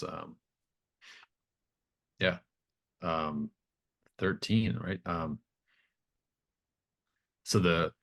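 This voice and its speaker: A man with a low voice runs a tabletop roleplaying game. He talks casually and haltingly, thinking aloud and checking in with his players as he goes. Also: vocal fry, fairly bright tone. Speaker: game master of a tabletop RPG. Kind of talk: casual, unscripted game running.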